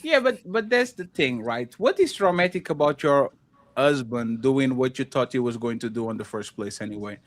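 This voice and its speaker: A man with a Russian accent hosting a casual panel, joking and teasing the guests with quick interjections. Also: mid-range voice, expressive, no room sound.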